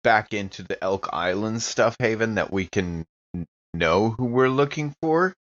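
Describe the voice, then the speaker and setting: Lower-pitched man roleplaying, slightly muffled. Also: unhurried, a bit bright. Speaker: player in an actual-play podcast. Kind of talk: casual in-character tabletop roleplay.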